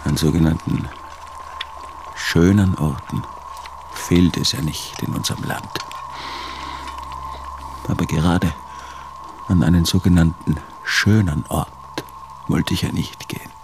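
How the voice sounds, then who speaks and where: Slow, deep, gravelly bitter man. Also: noisy background, heavily acted. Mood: bitter, brooding. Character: bitter obsessive man brooding over his failed life's study. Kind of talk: radio drama monologue.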